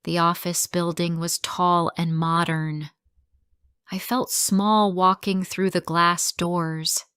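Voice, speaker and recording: A woman reading a simple first-person story for English learners, in slow, clearly spoken sentences. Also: fairly low pitch, level tone, fairly bright tone, dry recording, studio quality.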